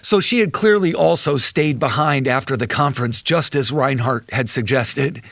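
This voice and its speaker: A man's voice: mid-range voice, a bit bright, no echo, close to an everyday voice. Male actor as cuckolded accountant husband, wry confessional delivery, dry recording, audio drama. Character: betrayed husband, an accountant recounting his wife's affair.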